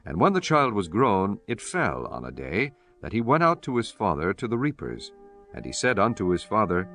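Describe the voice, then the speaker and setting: A man's voice: on the low side, wildly swooping pitch, normal speed, sharp tone, faint music behind. A man reading solemn, scripted scripture narration for a dramatized audiobook.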